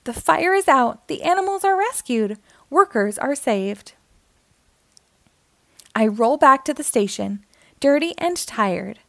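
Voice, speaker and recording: A woman reads a children's story in a high, expressive voice. Her storytelling is dramatic and animated, with an unhurried pace suited to young listeners. The recording is dry and close. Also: gravelly, dry recording. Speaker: storybook reader, host. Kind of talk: expressive children's read-aloud narration.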